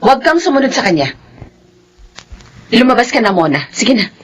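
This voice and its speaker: A woman's voice: fairly high pitch, very animated, moderate pace, husky. A woman acting a long-suffering, protective wife and mother in a radio drama, earnest and emotional. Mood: pleading, defiant. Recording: faint background noise.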